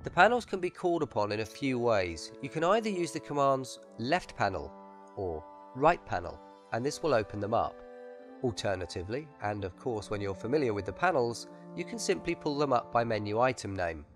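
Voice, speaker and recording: Male tutorial narrator for game add-on, scripted explanatory read, animated intonation, music underneath. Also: medium pitch, fairly quick. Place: voice-over with music behind.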